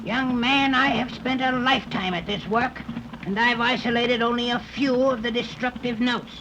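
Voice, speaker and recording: An actor playing a pompous, menacing old scientist in a 1930s radio serial, with formal, condescending, precise diction. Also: male voice, extremely high pitch, steady tone, moderate pace, background noise. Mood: stern, impatient. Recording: muffled vintage 1930s radio recording.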